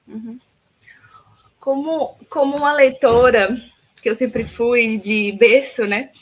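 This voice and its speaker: Woman guest, talks real slow and thoughtful, lots of pauses and little tag questions. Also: a little high, fairly even intonation, rough recording. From Salvador, Bahia, Brazil. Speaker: guest, philosophy teacher. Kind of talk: reflective interview answer.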